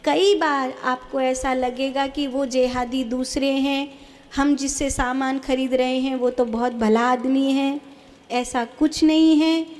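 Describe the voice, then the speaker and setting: A woman preacher speaks in a high voice at a slow, deliberate pace. She delivers a religious discourse to a congregation, exhorting them emphatically. She leans on repetition and rhetorical questions. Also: steady tone, a little mellow.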